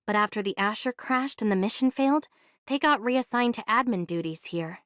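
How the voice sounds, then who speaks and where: A woman voicing a practical, inquisitive young female adventurer in an anime game, speaking in a casual, measured way. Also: a little high, a bit bright, dry recording, studio quality, lightly acted. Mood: curious, focused. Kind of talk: anime video game voice acting.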